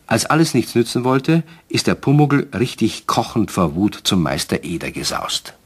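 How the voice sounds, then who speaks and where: A man's voice: fairly low pitch, very animated, normal speed, husky, full character voice. A man narrating a German children's radio play as a scripted storyteller.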